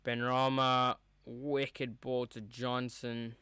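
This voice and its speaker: Young male streamer calling a match live; loose and chatty, crisp clear voice. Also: mid-range voice, little pitch movement, drawn-out words, sharp tone, no room sound. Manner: rambling, chatty, reacts to play as it happens. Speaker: streamer hosting a match watchalong. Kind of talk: casual live match commentary. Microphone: streaming mic with a cover on top.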